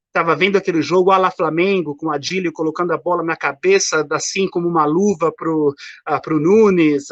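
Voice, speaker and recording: Man in his fifties, a caller. His voice is on the high side and he rambles with lots of fillers, heard over a dull, lo-fi remote line. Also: fairly bright tone, no room sound. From São Paulo, Brazil. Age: 50s. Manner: rambling, many fillers and restarts. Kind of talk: casual chat. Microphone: remote call.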